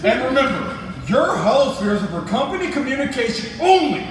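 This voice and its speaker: A man's voice: extremely high pitch, big pitch swings, normal speed, far from the mic. A man playing a pompous, irritable spaceship captain in a stage comedy, barking orders in an echoey, noisy hall. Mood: bossy. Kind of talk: staged reader's theatre comedy.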